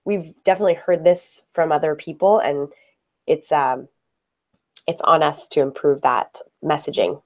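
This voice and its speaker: Lower-voiced woman asking patient questions. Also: fairly even intonation, normal speed, darkish tone. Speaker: software team researcher interviewing a user. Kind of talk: user research interview.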